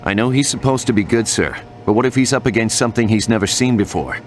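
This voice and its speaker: An actor playing a businesslike, deferential technician underling in a video game, speaking matter-of-factly. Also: male voice, quick pace, lightly acted. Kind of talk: video game cutscene acting. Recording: rumbling noise behind the voice.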